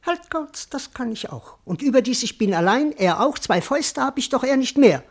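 Cheeky coward of a servant, swoops all over, wordy and puffed up, old-school verse radio play. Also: male voice, very high voice, expressive, moderate pace, heavily acted. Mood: cheeky, nervous bravado. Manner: declamatory, wordy, comically self-important. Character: cowardly, cheeky, boastful male servant, talking to himself. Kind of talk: theatrical classical verse radio drama.

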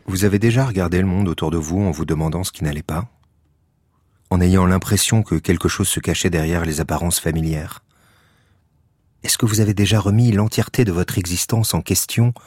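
An actor playing a wry, world-weary police lieutenant in a radio drama, deadpan and ironic. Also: male voice, low-pitched, normal speed, slightly rough. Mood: deadpan narration. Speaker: narrator and lead character. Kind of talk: scripted radio fiction acting.

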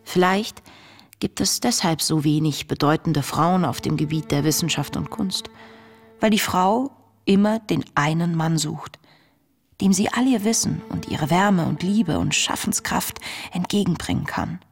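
An actress playing an earnest, introspective young woman reading her wartime diary in a radio play, measured and intimate. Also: fairly low pitch, animated. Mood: pensive. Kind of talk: radio drama diary monologue. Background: music.

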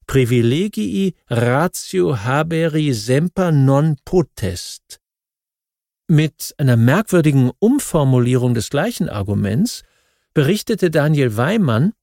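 A man reads scripted historical non-fiction as an audiobook narrator, in a measured, formal manner with deliberate emphasis. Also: medium pitch, gravelly, warm tone, no echo, studio quality.

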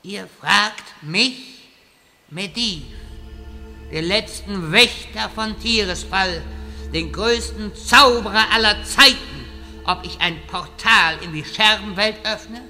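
A man's voice: high voice, slow pace, sharp tone, faint music behind, heavily acted. An actor playing an old wizard who sells portal services, with pompous speeches and salesman patter in a comedic radio play. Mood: grandiose. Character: old male wizard turned hustling locksmith, pompous.

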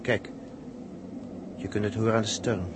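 A man acting an earnest, solemn space crewman who reasons deliberately, in a 1960s radio drama. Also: fairly low pitch, flat delivery, close to an everyday voice. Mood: grave, urgent. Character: earnest, reasoning male space crewman explaining grave odds. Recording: noisy 1960s radio recording.

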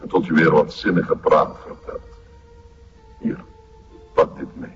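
An actor playing a menacing, domineering master in a crime drama, speaking curt, cold commands with a Flemish accent. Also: male voice, extremely low pitch, fairly even intonation, a little slow. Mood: cold, impatient. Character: menacing, domineering male cult master and drug supplier. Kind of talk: television crime drama acting. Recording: muffled sound under loud music.

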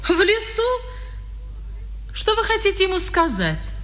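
An expressive, anxious young wife. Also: female voice, very high voice, measured pace, exaggerated voice. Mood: anxious, guarded. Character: tender, anxious, protective young wife of a hunted man. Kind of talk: 1950s Soviet radio drama acting.

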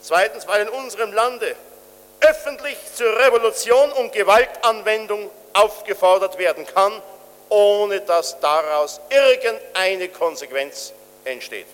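A man's voice: high-pitched, expressive, unhurried, a bit bright, in a large hall. A man giving a formal, emphatic and polemical political speech. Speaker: political speaker.

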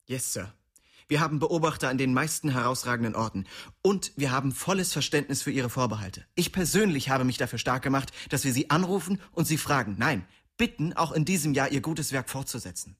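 A man's voice: mid-range voice, animated, brisk, fairly bright tone, husky, dry recording, clean studio sound. An actor playing a wry young man who tells the story of his small-town youth in slangy radio drama narration. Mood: mock earnest. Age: young adult. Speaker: narrator and main character.

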